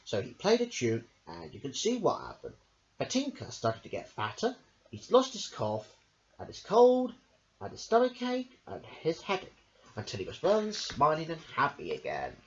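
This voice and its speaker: A man's voice: on the high side, moderate pace, lo-fi sound, a little hiss or hum. Man reading a picture book, wildly sing-song. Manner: lively sing-song read-aloud with asides. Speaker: storyteller.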